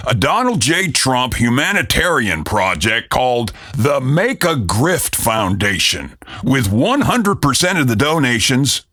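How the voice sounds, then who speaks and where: A man's voice: mid-range voice, very animated, unhurried, pushed and bright, no echo, studio quality. A man delivering a satirical comedy promo.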